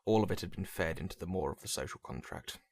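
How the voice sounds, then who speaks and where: A man reading dramatic fiction as a narrator, in a brooding, measured, theatrical way. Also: low voice, lively intonation, a little mellow, slightly rough, no room sound. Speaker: story narrator. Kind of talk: dramatic scripted story narration.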